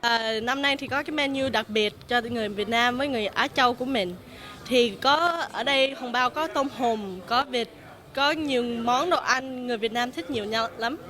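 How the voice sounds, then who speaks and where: High-voiced woman with cheerful greetings. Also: brisk, sharp tone. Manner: friendly, chatty holiday greeting.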